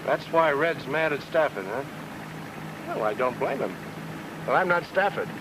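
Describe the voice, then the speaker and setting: A man acting a cool-headed, hard-boiled investigator in an old time radio noir drama, brisk and questioning. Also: fairly high pitch, very animated, noisy background. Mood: insistent, wry. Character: cool-headed male investigator, talking his way out of danger. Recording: old radio recording, music underneath.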